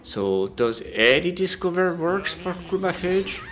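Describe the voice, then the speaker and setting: A man's voice: sits high, big pitch swings, unhurried, fairly bright tone. A man reading a scripted video game review as a voice-over. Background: music.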